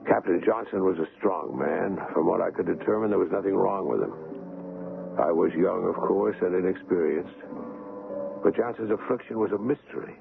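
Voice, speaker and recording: A concerned, reflective doctor in an old radio drama, with measured delivery. His voice is fairly low with some fry, heard through a tinny, phone-like recording. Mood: sombre. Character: earnest, concerned male ship's doctor recalling his youth. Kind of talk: old-time radio drama narration and dialogue.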